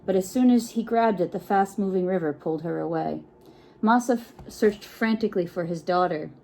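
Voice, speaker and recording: A woman reading a picture book aloud to children in an expressive, encouraging way, with chatty asides and frequent check-in questions. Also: lowish voice, brisk, vocal fry, poor audio. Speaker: children's storytime reader.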